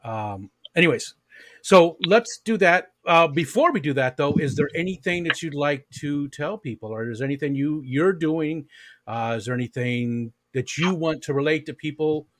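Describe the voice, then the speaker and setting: A man's voice: a little high, animated, moderate pace. Rambling man hosting a livestream. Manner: rambling, friendly, many fillers. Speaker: livestream host. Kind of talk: casual chat.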